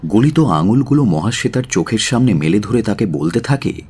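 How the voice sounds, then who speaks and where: A man's voice: fairly low pitch, expressive, normal speed, a little mellow, husky. A man narrating a literary audio story in a dramatic, scripted style.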